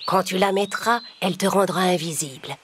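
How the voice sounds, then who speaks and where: A woman's voice: lowish voice, brisk, a little hiss or hum, close to an everyday voice. A woman voicing a kindly, poor old peasant woman in a children's fairy-tale cartoon, speaking in a pleading, solemn way. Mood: solemn, caring.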